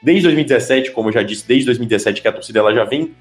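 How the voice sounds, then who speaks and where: Man, mid-pitch, halting podcast talker, roomy echo, faint music underneath. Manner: hesitant, frequent filler sounds and restarts. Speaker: podcast co-host. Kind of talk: casual chat.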